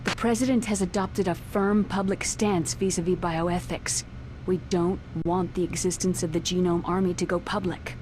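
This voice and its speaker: A woman playing composed, lowish-voiced mission officer. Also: steady tone, moderate pace, darkish tone, soft background music, close to an everyday voice. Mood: composed, serious. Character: cool, composed female mission support officer. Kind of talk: video game voice acting.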